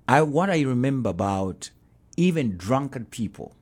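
Husky Ugandan man, earnest guest. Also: medium pitch, lively intonation, normal speed, darkish tone. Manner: earnest, repeats himself. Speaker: pastor, guest. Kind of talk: interview.

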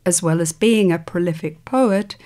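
Low-voiced woman hosting an interview. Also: moderate pace, a little mellow. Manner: clear, composed, reading from a script. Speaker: radio program host. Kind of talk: formal guest introduction and interview questions.

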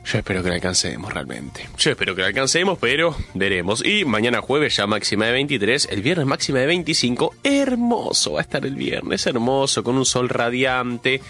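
A man's voice: mid-range voice, very animated, moderate pace, hardly any pauses, pushed and bright, faint music behind. A male radio host with a Rioplatense Spanish accent, opening the show with a chatty monologue while congested with a cold, drawing out words for emphasis. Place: radio studio.

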